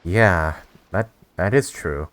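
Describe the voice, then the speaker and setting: A man's voice: low voice, slow pace, a bit bright. A man giving casual playthrough commentary on a game, hesitantly thinking aloud as he puzzles out the story. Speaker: gamer reacting to a game story. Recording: faint game music behind the voice.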